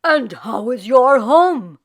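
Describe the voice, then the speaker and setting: A woman voicing a kindly, solemn old male rabbi in a storybook, with slow, emphatic, drawn-out pronouncements. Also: sits high, expressive, heavily acted. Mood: gently inquiring. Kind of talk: storybook character voice.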